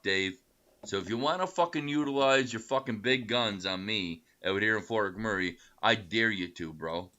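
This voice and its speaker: Low taunting man on dull lo-fi clip. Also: normal speed, pushed and bright. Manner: taunting, aggressive, profane.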